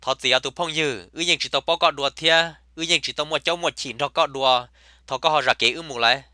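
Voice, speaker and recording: A man voicing a comic male cartoon character who chatters in a rapid, emphatic way. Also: on the high side, bright, forceful tone, no room sound, clean studio sound. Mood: chatty.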